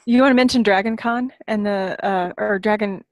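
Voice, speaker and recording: A woman chats as a podcast co-host in a casual, conversational way. Her pitch sits in the middle range, and the sound is dry and free of room echo. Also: normal speed. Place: video call group podcast.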